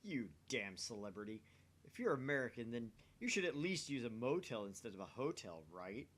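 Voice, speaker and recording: A man's voice: medium pitch, very animated, normal speed, a bit bright. A man voicing a brash, nosy young male scientist in a visual novel, with a dramatic, teasing delivery. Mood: nosy, incredulous.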